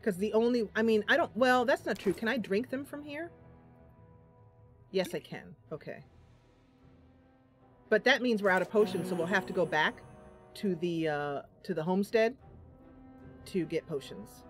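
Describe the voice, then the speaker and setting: Female gaming host, mid-pitched, chatty and reactive, thinking aloud over faint game music. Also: lively intonation, moderate pace, pauses often. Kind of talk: casual let's play commentary.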